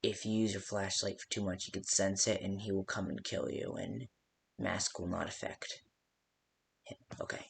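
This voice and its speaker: A man playing a rambling, hesitant phone guide who briefs a night guard in a game, with a gravelly voice. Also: low voice, flat delivery, normal speed, a little hiss or hum. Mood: forgetful, rambling. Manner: hesitant, rambling, full of stops and second thoughts. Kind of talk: improvised-sounding instructional phone call for a game.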